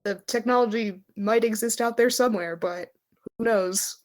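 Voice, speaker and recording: A woman's voice: on the high side, moderate pace. A woman casually narrating a tabletop role-playing game as game master.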